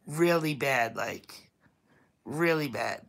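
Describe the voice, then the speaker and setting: A woman with a thick Staten Island New York accent and a deep voice, chatting bluntly and casually to viewers on a livestream, laughing often. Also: slow. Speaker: YouTube vlogger.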